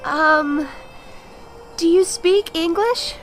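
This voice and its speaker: A woman playing a polite, expressive young traveller in an audio drama. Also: very high-pitched, very slow, faint music behind, full character voice. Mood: tentative.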